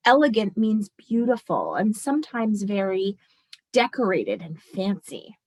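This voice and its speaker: A woman's voice: mid-range voice, sing-song, unhurried. A woman teacher reading a picture book aloud expressively and explaining it to children as she goes.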